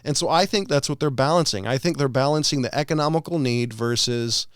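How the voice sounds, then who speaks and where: A man's voice: medium pitch, brisk, smooth, dry recording, clean studio sound. Earnest, argumentative podcast man. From California. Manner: argumentative, earnest, poses questions. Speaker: podcast co-host. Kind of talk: casual chat.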